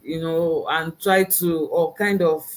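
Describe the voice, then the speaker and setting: Middle-aged woman guest from Nigeria, hesitant and soft-spoken, slow pace, slightly low pitch. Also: fairly even intonation. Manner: soft-spoken, hesitant, many "you know"s, laughs often. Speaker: guest, educator and business owner. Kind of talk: interview answers on a video call.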